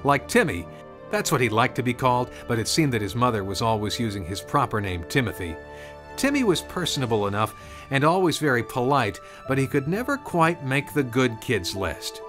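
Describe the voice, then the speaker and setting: A man's voice: medium pitch, sing-song, normal speed, music in the background. A man narrating a scripted storybook tale as a storyteller.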